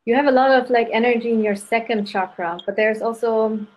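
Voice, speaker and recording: A woman from Germany with a German accent, hosting live spirit readings and guiding a meditation over a video call in a relaxed way, often saying "um" and "like". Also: on the high side, fairly quick, poor audio. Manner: relaxed, many fillers like "um" and "like". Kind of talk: casual guided meditation and readings.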